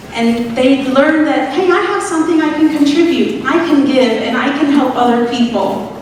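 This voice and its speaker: Southern woman giving an encouraging talk at a community event, kinda high voice, sounds far off in a big echoey hall w/ background noise. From Atlanta, US South. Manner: earnest, encouraging, leads the crowd in repeating phrases. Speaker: community speaker at a suicide prevention event. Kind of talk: public speech to an audience.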